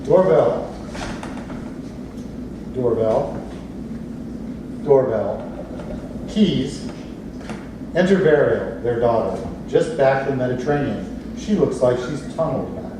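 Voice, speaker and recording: A man's voice: medium pitch, animated, takes it slowly, long pauses. A man reading stage directions as scripted narration at a staged play reading. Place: theatre space, recorded from audience distance. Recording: echoey, distant, noisy room recording.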